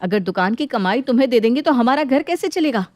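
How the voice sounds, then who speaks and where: Woman playing wronged, protesting sister-in-law in a cartoon family drama; fairly high voice, dry recording. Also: animated, a little fast, a little mellow, no room sound, lightly acted. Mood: indignant. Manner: indignant, protesting. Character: indignant married sister-in-law defending her household. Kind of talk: dramatized Hindi moral story acting.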